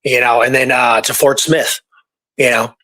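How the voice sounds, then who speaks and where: A man's voice: mid-range voice, fairly even intonation, unhurried, bright, forceful tone. A man hosting a podcast, talking casually about history in an informal, explanatory way and repeating points for emphasis. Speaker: podcast host. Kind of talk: casual conversational history talk.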